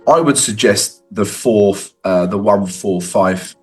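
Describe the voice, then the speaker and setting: A man's voice: on the low side, slow pace, soft background music. A man with a cold walking through trading charts in a casual, conversational way, tagging lines with "right?". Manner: conversational, frequent "right?" tags. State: has a cold. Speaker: forex trading educator.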